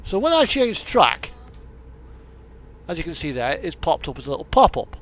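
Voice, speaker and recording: A man's voice: fairly high pitch, very animated, moderate pace, fairly bright tone. A man giving casual, rambling gameplay commentary in a hesitant, meandering way over background music. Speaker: streamer hosting a truck simulator video. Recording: music playing behind the voice. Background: radio music, truck simulator game sound.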